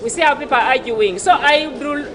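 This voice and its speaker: A high-voiced woman teacher speaks to a hall of pupils after a school debate. She encourages them and keeps checking that they follow her. Faint background noise runs underneath. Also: a little fast, a bit bright. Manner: encouraging, asks pupils for replies. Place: school assembly.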